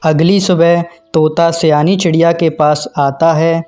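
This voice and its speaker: A man's voice: fairly high pitch, little pitch movement, measured pace, a little mellow, soft background music. A man narrating a scripted children's cartoon story.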